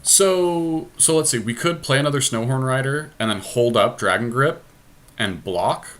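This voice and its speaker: A man's voice: medium pitch, moderate pace, a bit bright. A man casually narrating a card game as he plays, thinking aloud and weighing options step by step. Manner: deliberating, talks through options step by step. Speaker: podcast co-host playing and narrating an online card game. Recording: noisy amateur capture with background noise.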